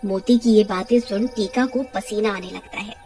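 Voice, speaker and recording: A woman's voice: mid-range voice, fairly even intonation, moderate pace, loud music behind the voice. A woman narrating a scripted cartoon horror story.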